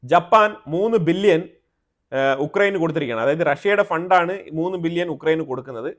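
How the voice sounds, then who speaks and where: A man's voice: on the high side, expressive, quick pace. A man with a Malayali accent presenting explanatory news commentary, often rephrasing himself and mixing in English terms.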